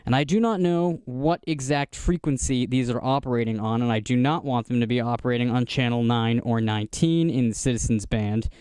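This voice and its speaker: An American man giving a casual, unscripted show-and-tell narration in a halting, explanatory manner. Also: medium pitch, darkish tone, clean tone, dry recording, studio quality. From United States. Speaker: vlogger showing vintage radio gear.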